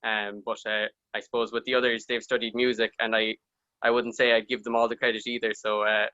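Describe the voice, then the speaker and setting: A man with an Irish accent, a band member on a video call with a dry, measured humor. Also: fairly low pitch, level tone, bright, forceful tone, clear, resonant tone, no room sound, lo-fi sound. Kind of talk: casual interview chat.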